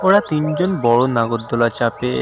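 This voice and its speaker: A man's voice: medium pitch, lively intonation, normal speed, over music. A man narrating a scripted children's cartoon story.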